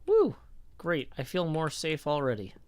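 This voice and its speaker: A man's voice: on the high side, huge pitch swings, measured pace, clear, resonant tone. A man live-streaming a game, chatting in a rambling, casual way and answering his viewers as he plays. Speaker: livestreamer. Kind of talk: casual live-stream chat while playing.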